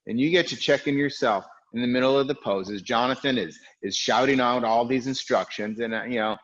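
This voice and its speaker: A man guiding an online yoga class, with a loud, strong voice, calm and reflective, speaking in unhurried phrases with pauses. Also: medium pitch, dull audio. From United States. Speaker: yoga teacher. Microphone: recorded for an online class video.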